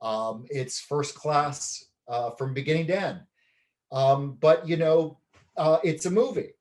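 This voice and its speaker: A man from Canada, a documentary film director, giving a measured, reflective interview with many ums and pauses. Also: on the high side, darkish tone.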